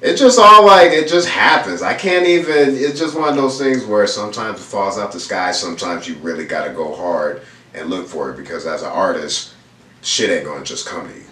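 Low voiced guy in his 30s from houston, slangy easygoing chat, bit far from the mic in a roomy space. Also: fairly quick, bright, forceful tone. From raised in Houston, Texas. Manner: laid-back slangy talk with lots of fillers. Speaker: guest painter. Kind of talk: casual interview chat. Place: indoor room, seated on a leather couch. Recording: roomy echo, voice a bit off mic, faint room noise.

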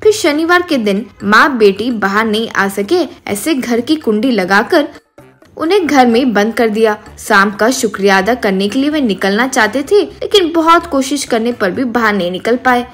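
A woman's voice: on the high side, lively intonation, moderate pace, a bit bright, soft background music. A woman narrating a scripted storybook tale as the narrator.

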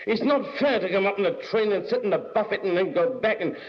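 A man's voice: sits high, animated, quick pace, dull audio, voice pushed up. A man voicing an irritable, nagging man in a station buffet, in an insistent, quarrelsome storybook reading. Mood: insistent, reproachful. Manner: insistent, quarrelsome, peppered with tag questions.

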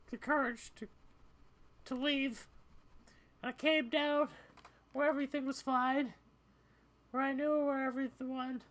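A woman voicing a troubled, frightened young female cat in video game dialogue, speaking haltingly. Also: high voice, a bit bright, voice pushed up.

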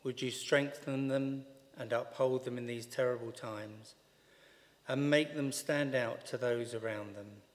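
A man in his sixties leading intercessory prayers from a lectern, earnest and measured as he reads. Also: mid-range voice, steady tone. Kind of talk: read-aloud intercessory prayer. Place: large church interior, at a wooden lectern. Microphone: lectern microphone on a stand. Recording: long church reverb.

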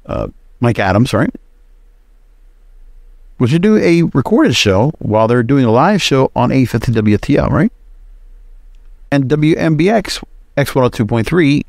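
A man's voice: mid-range voice, creaky, a little mellow, dry recording, studio quality. A man hosting a solo podcast, giving casual, rambling and opinionated commentary. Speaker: podcast host.

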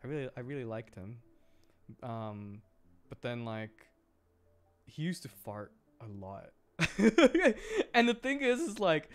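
A man chatting casually on a game livestream, rambling and often repeating his words as he reacts to viewers. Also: medium pitch. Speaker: game streamer.

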